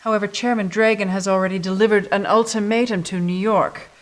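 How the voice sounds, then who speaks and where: A woman's voice: medium pitch, steady tone, a little fast, lightly acted. A woman in her thirties playing a stern, businesslike resistance officer, giving a serious briefing in a video game cutscene. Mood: grave, urgent. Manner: serious, clipped briefing delivery.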